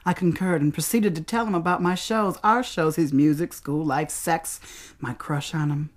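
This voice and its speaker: Low-voiced American woman, dramatic spoken-word storyteller. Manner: theatrical, with dramatic pauses and emphasis.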